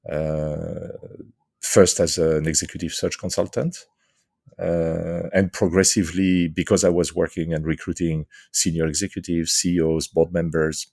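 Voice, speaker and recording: A middle-aged man from France with a French accent, answering interview questions thoughtfully with many pauses and restarts. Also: low-pitched, little pitch movement, gravelly, a little mellow, dry recording, clean studio sound. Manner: hesitant, many fillers and restarts. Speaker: guest, author and leadership coach.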